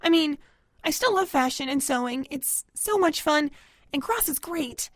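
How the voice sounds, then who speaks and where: A woman's voice: sits high, lively intonation, a little slow, a bit bright, dry recording, studio quality. A woman voicing a bookish pony who dreams of writing novels, in an audio drama. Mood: hesitant, confiding. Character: bookish filly pony, later a brash aspiring novelist. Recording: music behind the voice.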